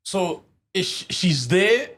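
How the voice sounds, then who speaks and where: South African man, somewhat high voice. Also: sing-song, takes it slowly, a bit bright. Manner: conversational, asks follow-up questions. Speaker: podcast host. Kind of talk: casual interview chat.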